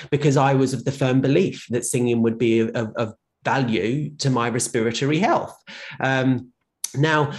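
A man sharing his own health experience in a casual conversation, often pausing and restarting. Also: mid-range voice. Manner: rambling, many fillers and restarts. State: short of breath at times. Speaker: guest with lived experience of long covid. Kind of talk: conversational personal account.

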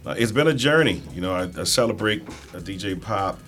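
Deep-voiced, fast-talking mature man. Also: animated, a bit bright, faint music behind. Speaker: guest, nonprofit chief executive. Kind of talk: introducing himself in panel talk.

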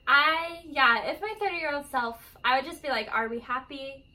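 A woman's voice: high-pitched, moderate pace, bright, forceful tone, recorded from a distance. A young adult woman chatting informally and slangily as a podcast co-host, recorded a little away from the mic. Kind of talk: casual chat.

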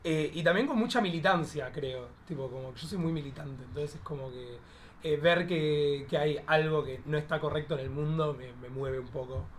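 Argentine guy in drag, highish voice, campy casual chat, bit hesitant. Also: moderate pace. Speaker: drag queen guest.

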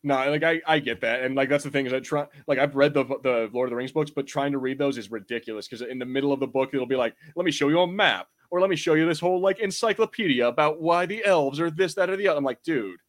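A man's voice: on the high side, fast talker, few pauses. A man hosting a podcast, chatting casually about fantasy film and TV in a rambling, irreverent, sweary way. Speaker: podcast host.

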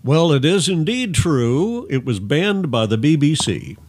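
A man's voice: fairly high pitch, sing-song, unhurried, darkish tone. A man co-hosting a casual music quiz and chat, joking and teasing as he talks. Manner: jokey, teasing, playful. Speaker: co-host running a music quiz.

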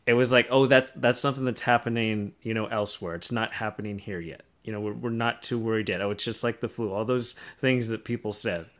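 A man's voice: fairly low pitch, steady tone, fairly quick, few pauses, fairly bright tone. A man co-hosting a casual podcast, explaining his points step by step. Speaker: podcast host.

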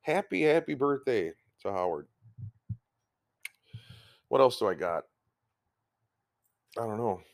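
A man's voice: medium pitch, very animated, slow pace, vocal fry, pauses often. Rambling man, podcast host at home. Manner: rambling, with frequent ums and restarts. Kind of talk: casual rambling chat.

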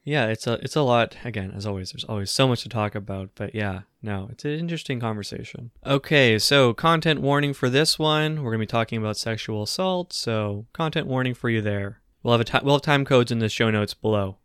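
A man's voice: medium pitch, fairly quick, hardly any pauses, a bit bright, smooth, no room sound, studio quality. A man co-hosting a casual political podcast, talking in a conversational, hedging way with filler phrases. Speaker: podcast co-host.

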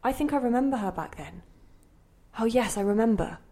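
A bewildered, insistent inner voice. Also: female voice, a little high, expressive, brisk, dark tone. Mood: scornful, probing. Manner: questioning, fretful, insistent. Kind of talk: audio play monologue and dialogue.

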